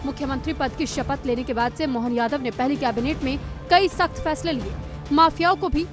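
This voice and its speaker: A woman's voice: high-pitched, fairly quick. A woman presenting the news in a scripted narration style. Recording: music bed under voice.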